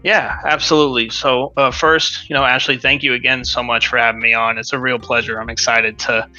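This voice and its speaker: A man's voice: medium pitch, flat delivery, a little fast, sharp tone, faint music behind. A man in his late twenties from the western United States, chatting casually as an interview guest over dull lo-fi audio. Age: late 20s. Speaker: podcast guest.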